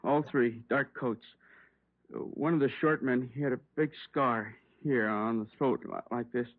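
An actor playing a hurt, trembling liquor store owner who speaks haltingly in an old radio drama. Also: male voice, medium pitch, no room sound, natural voice. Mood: shaken. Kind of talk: 1950s radio drama acting. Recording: dry, muffled old radio recording.

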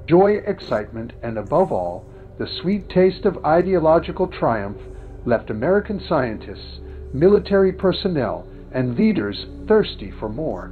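Tinny, lively male documentary narrator. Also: fairly high pitch, normal speed. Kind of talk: formal scripted documentary narration. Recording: tinny phone-like sound over music. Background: background music.

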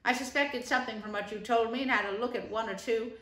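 A woman's voice: a little high, steady tone, fast, fairly bright tone, lightly acted. A woman voicing a firm, kindly male water rat who speaks in an earnest way. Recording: roomy echo.

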